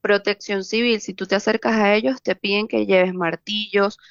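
A woman's voice: medium pitch, fairly even intonation, normal speed, no echo. Venezuelan woman reporting over a dull line. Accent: Venezuelan Spanish. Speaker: journalist. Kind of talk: reporting in a news interview.

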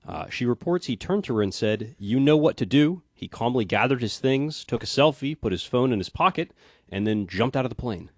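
A man co-hosting a podcast, reading out news items in relaxed, hesitant chat. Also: mid-range voice, a little fast, no room sound, studio quality. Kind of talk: casual news rundown chat.

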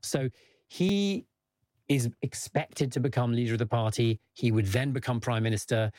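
A man explaining politics on a news podcast in a measured, careful way with some fillers. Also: medium pitch, a little mellow, dry recording. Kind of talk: explanatory conversation.

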